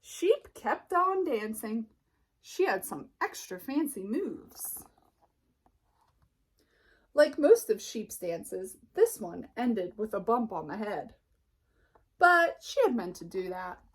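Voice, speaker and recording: A woman reading a children's picture book aloud as narrator, expressively and deliberately, with dramatic pauses. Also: fairly high pitch, big pitch swings, breathy edge, poor audio. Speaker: storybook reader.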